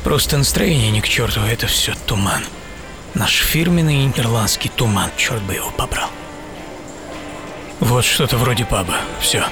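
A man's voice: medium pitch, lively intonation, normal speed, lightly acted. A man playing a famous, gloomy English actor in a wry, theatrical radio monologue. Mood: sardonic, wry. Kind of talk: dramatised first-person monologue, radio play. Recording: loud music under the voice. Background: loud background music.

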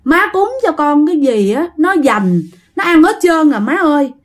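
A woman's voice: high voice, very animated, normal speed, pitched above the performer's own voice. A woman voicing the pitiful, hungry ghost of a dead son, calling plaintively to his mother in a Southern Vietnamese accent. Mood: pleading, anguished.

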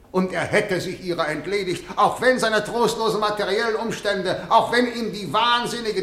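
An actor in a German radio play playing a severe, probing prosecutor who speaks in measured, declamatory, rhetorical phrases. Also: male voice, high-pitched, fairly bright tone, reverberant, slight background noise. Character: formal, probing, severe male prosecutor, eloquently moralizing.

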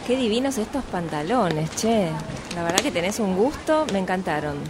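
A woman's voice: mid-range voice, big pitch swings, normal speed, lightly acted. A woman with an Argentine accent acting a flighty, evasive, vain friend in an amateur radio play, with a hesitant, self-absorbed manner. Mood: breezy. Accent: Argentine Rioplatense. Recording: clear background ambience noise.

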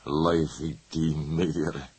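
A man's voice: a bass voice, steady tone, drawn-out words, darkish tone, slightly rough, no room sound, heavily acted. A man voicing an insolent, evasive young street man of about twenty, offhand and drawling. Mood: scornful.

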